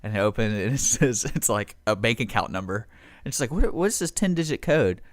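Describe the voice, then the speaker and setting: A man with an American accent and an average-pitched voice chats casually as a radio co-host. He has a joking, teasing manner, recorded close and dry. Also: lively intonation, brisk, no room sound. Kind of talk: casual chat.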